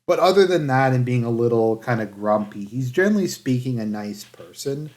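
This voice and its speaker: A man with a mid-pitched voice delivers casual commentary as a reviewer. He speaks in a halting, hedging way, often letting phrases trail off as he explains things. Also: expressive, darkish tone. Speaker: anime reviewer.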